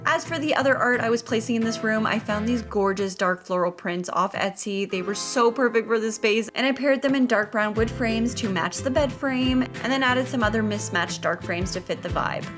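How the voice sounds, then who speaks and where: A woman's voice: fairly high pitch, moderate pace, hardly any pauses, over music. A woman with a playful, chatty manner, narrating a home makeover video in an upbeat scripted style with casual asides. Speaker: host of a home makeover vlog.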